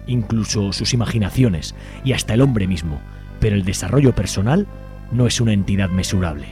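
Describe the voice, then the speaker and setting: A man's voice: medium pitch, warm tone. A man with a Spanish accent from Spain co-hosting a radio show in a scripted presenting style. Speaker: radio co-host.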